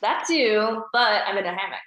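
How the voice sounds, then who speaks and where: A woman's voice: mid-range voice, moderate pace, a bit bright, muffled recording, lightly acted. A woman reading a guarded young woman, echoey. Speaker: table-read actor. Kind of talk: script table read. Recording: echoey room.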